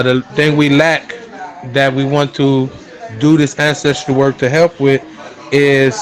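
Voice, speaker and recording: Man, earnest, unhurried, lots of fillers, mid pitch. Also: low-quality recording. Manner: earnest, unhurried, frequent fillers like "you know" and "um". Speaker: interviewee, spiritual practitioner. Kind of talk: casual conversational explanation.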